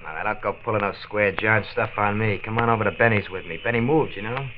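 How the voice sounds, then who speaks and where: An actor playing a cocky, pushy young street tough in a 1940s radio drama, slangy and needling on a noisy old recording. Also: male voice, on the low side, fast talker, lo-fi sound, lightly acted. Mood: taunting, chummy.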